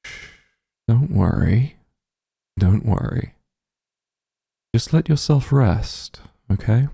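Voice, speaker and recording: Doting demon lord, played by a man, tender comforting ASMR roleplay, heavy vocal fry, close dry sound. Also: animated, takes it slowly, no room sound, heavily acted. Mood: soothing, comforting. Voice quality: low, gravelly, husky. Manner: soothing, tender, gently coaxing. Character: doting demon lord, shapeshifted into soft strong form.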